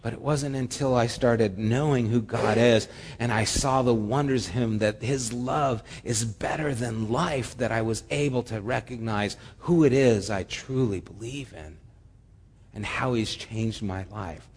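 A man's voice: medium pitch, measured pace, a little hiss or hum. Man preaching in a reverberant church hall. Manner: conversational preaching with asides. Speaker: pastor. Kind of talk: sermon.

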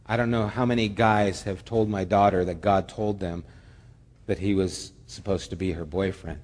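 A man's voice: low-pitched, fairly even intonation, moderate pace, a little mellow. Man preaching in a reverberant church hall. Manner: conversational preaching with asides. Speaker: pastor. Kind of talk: sermon.

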